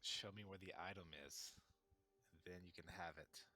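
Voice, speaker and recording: Male roleplaying player, deep and forceful, casual in-game chat. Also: steady tone, moderate pace.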